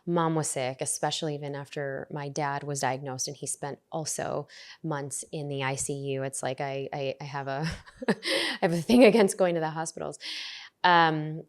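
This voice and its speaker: Low, reflective woman guest in a podcast interview, earnest, restarts often. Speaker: podcast guest, resilience coach. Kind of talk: conversational interview.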